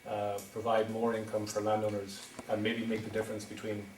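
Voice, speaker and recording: A man's voice: fairly low pitch, completely flat delivery, brisk, slight background noise. A middle-aged man with an Irish accent from County Wexford, giving a hesitant public presentation at a town meeting, full of ums and restarts. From Ireland. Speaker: wind energy developer presenting at a town meeting. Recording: echoey hall recorded from far off.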